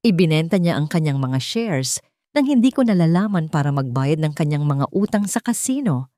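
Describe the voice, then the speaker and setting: Audio drama elder: frail but commanding old man, gentle delivery, played by a female narrator. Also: deep voice, expressive, no room sound, clean studio sound. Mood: sorrowful explaining. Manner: gentle, measured. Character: frail old grandfather, sharp, authoritative, sorrowful.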